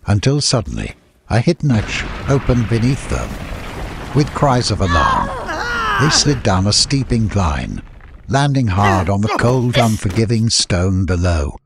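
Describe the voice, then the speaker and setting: A man's voice: fairly low pitch, a little hiss or hum. A man reading scripted fantasy story narration as an audiobook narrator.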